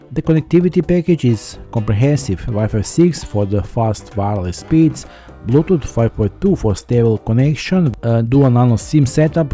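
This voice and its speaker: A man's voice: mid-range voice, mellow. A man narrating a scripted product review as a tech reviewer.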